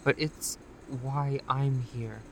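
Woman acting slow smooth-talking recruiter lady in an audio drama, condescending, some noise behind. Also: very deep voice, steady tone, dark tone, heavily acted. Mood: coaxing. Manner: measured, condescending, deliberate.

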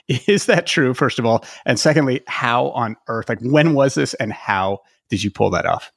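A man's voice: medium pitch, lively intonation, normal speed, creaky, dry recording, studio quality. A man hosting a travel podcast, introducing his guest with enthusiasm and asking questions. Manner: enthusiastic, admiring, some restarts. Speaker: podcast host.

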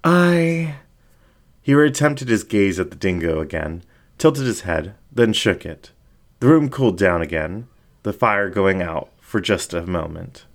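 A male narrator reads fiction in a low-pitched voice. The pace is unhurried and deliberate. His intonation moves a great deal, giving the storytelling a dramatic feel. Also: animated.

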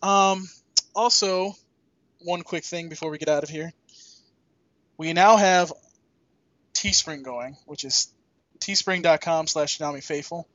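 A man with a fairly high voice hosts a podcast in a loose, joking style with some salty language. The sound is dry and a bit dull, like a lo-fi voice track. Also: a little slow, pauses often, fairly bright tone, no echo. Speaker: podcast host. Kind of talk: casual podcast chat.

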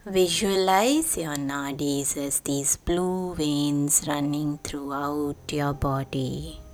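A woman leading a guided sleep meditation in a soothing, deliberate way, with pauses between short phrases. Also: low voice, steady tone. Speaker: meditation guide, podcast host. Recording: voice over background music. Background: meditation music.

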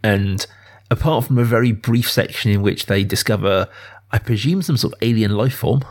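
A man's voice: fairly low pitch, moderate pace. A man hosting a casual film discussion, reflective and repeating phrases for emphasis. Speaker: podcast host.

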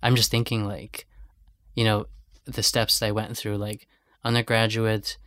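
A man's voice: on the low side, level tone, measured pace, fairly bright tone, no echo, studio quality. Young man host, loose and chatty. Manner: loose, many "like" fillers. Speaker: interviewer, podcast host. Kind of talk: casual conversational interview.

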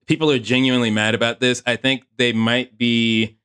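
Sick male co-host, smooth mid voice, wry and deadpan. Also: mid-range voice, moderate pace. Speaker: podcast producer and co-host. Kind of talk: casual comedic chat.

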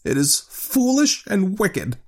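A man's voice: sits high, huge pitch swings, measured pace, darkish tone, voice pushed up, heavily acted. A man voicing a fearful but tender old husband in a storybook, speaking in a faltering, unsteady way. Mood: fearful, reluctant.

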